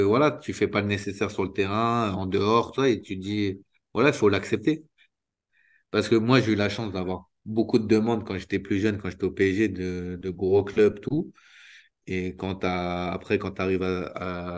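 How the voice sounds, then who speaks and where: A man in his thirties, a former footballer, talking candidly in an interview over a video call, restarting sentences and filling pauses often. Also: sits low. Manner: spontaneous, halting, many restarts and fillers. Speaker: retired footballer guest. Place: small room indoors. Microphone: video call webcam.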